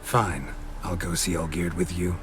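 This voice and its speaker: An actor playing a gruff, laconic monster hunter in a video game, with a gravelly voice and terse, deadpan delivery. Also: male voice, measured pace, background noise. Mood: wary, dry. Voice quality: gravelly, low. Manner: terse, deadpan, clipped sentences.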